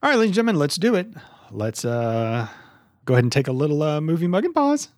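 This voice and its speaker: Man in his fifties with a higher-pitched voice. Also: sing-song, fast. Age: 50s. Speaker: movie podcast host. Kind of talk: casual, profane podcast chat.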